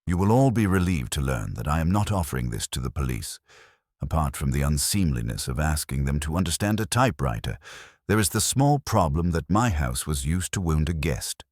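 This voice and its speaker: A man playing an imperious, deep-voiced detective. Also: big pitch swings, brisk, a little mellow, no room sound, clean studio sound, exaggerated voice. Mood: dry, measured. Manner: formal, mild-spoken, precise. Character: imperious, exacting male detective, measured and cutting.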